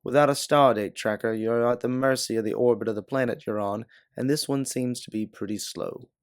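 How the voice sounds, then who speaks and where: A man's voice: medium pitch, fairly quick, no room sound, studio quality, natural voice. A man voicing a weary, candid stranded ex-pilot in a science fiction audiobook. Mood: reminiscing. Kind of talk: audiobook character dialogue.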